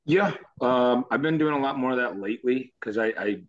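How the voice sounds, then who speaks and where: A man with a low voice, a musician guest chatting casually in an interview, easygoing and rambling. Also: moderate pace, lo-fi sound. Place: Nashville.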